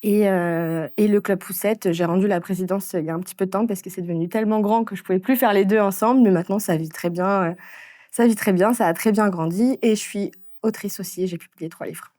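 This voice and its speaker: A woman in her thirties from France, telling her story in a casual interview, with frequent pauses and fillers. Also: mid-range voice, steady tone, dark tone, dry recording, clean studio sound. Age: 30s. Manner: hesitant, many fillers and restarts. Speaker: interviewee, entrepreneur.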